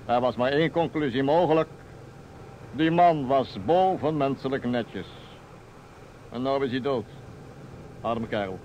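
A man's voice: medium pitch, measured pace, long pauses, a little mellow, close to an everyday voice. A man acting a wry, gossipy small-town baker being questioned in a Dutch radio play. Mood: insistent. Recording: dull old radio recording, faint noise.

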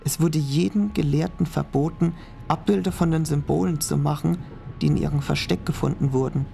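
A man's voice: on the high side, a little fast, gravelly, warm tone, soft background music. A young man playing a cautious student who reads old notes aloud, in an audio drama. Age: young adult. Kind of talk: amateur audio drama acting.

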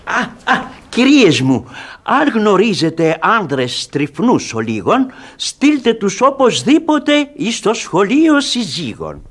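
An actor playing a reasonable, tolerant elder brother in a classic radio comedy, with theatrical delivery. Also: male voice, high voice, breathy edge, full character voice. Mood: reproving, genial. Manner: theatrical, expressive.

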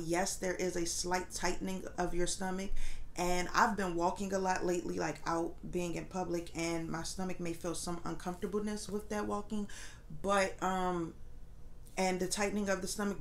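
A young woman talks openly to the camera from her sofa at home, her slightly low voice stuffed-up and nasal. She rambles loosely, full of ums and likes, with a faint hum of room ambience behind her. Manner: candid, rambling, many fillers. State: congested, nasal voice. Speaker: vlogger. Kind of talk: casual pregnancy update.

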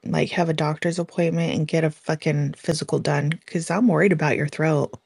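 Sarcastic young woman, low dry voice. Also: fairly even intonation, brisk, gravelly, a little mellow, husky, no echo. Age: 20s. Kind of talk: heated insult exchange in a live audio chat room.

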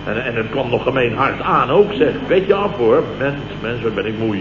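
An actor playing a boastful, weary, blustering boss in an old radio comedy, rambling and stammering. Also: male voice, mid-range voice, expressive, moderate pace, lightly acted. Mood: weary, groaning. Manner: rambling, exclamatory, full of stammers and interjections. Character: blustering, boastful, weary male boss and amateur night watchman. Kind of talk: 1970s Dutch radio comedy acting. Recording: echoey, noisy, muffled old radio broadcast.

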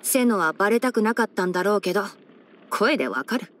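A woman plays a young fox-eared ranger boy from a video game, with a mid-pitched voice. He explains things like a sensible scholar and mentor. His delivery is composed and articulate, dryly wry yet caring. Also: lively intonation, fairly quick, natural voice. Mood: dry, cautioning. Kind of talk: scripted video game character voice-over.

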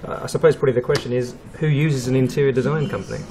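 A man hosting a radio property show, chatting casually with guests and often pausing on fillers and restarts. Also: mid-range voice, steady tone, crowd noise. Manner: hesitant, many fillers and restarts.

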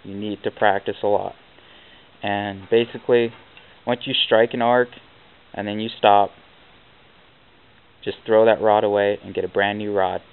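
Man, low-ish voice, blunt workshop instructor, casual tutorial, tinny camera audio with light hiss. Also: level tone, measured pace, creaky, pauses often, smooth. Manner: plainspoken, blunt, with fillers like "like". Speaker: welding instructor. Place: workshop, chalking on a steel plate.

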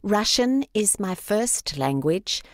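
A woman reading a line of dialogue slowly and clearly on a textbook recording. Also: mid-range voice, expressive, no room sound, studio quality. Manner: clear, deliberate delivery. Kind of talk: slow scripted textbook audio.

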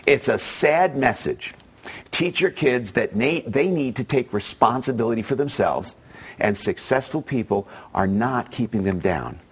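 A man's voice: mid-range voice, lively intonation, measured pace, breathy edge. A man hosting a show, delivering an emphatic, persuasive opinion monologue straight to his listeners. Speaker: show host.

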